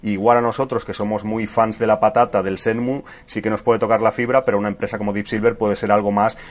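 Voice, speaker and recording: Fast-talking low-voiced male guest. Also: level tone. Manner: eager, talks fast, adds asides. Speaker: podcast guest, podcaster. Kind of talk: casual podcast chat.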